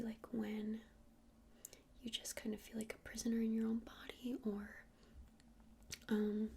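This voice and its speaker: A gentle witch who keeps a shop , played by a woman for an ASMR roleplay. She whispers breathily and evenly, with little rise or fall. Her explanations are soothing and hesitant, over a faint layer of background noise. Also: medium pitch, creaky. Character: gentle witch shopkeeper showing crystals to a customer.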